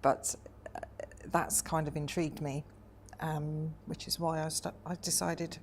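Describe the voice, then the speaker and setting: A woman in her forties from Wales, speaking thoughtfully in a personal interview, often pausing with hesitant ums. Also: low voice, little pitch movement, slight background noise. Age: 40s.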